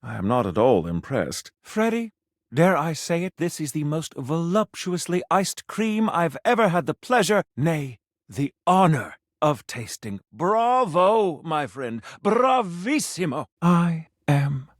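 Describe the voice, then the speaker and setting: A man's voice: on the high side, sing-song, takes it slowly, no echo, studio quality. A man voicing a pompous, flirtatious food critic in a video game, speaking in florid, theatrical phrases sprinkled with French. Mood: effusive, delighted. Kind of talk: scripted video game character dialogue.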